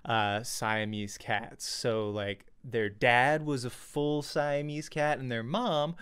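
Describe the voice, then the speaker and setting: A young man chatting casually as a vlogger, telling a personal story in an animated way and acting out reactions, close on a desk mic at home. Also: medium pitch, takes it slowly, clear, resonant tone. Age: young adult. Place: small home room. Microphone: desk studio mic with pop filter, close.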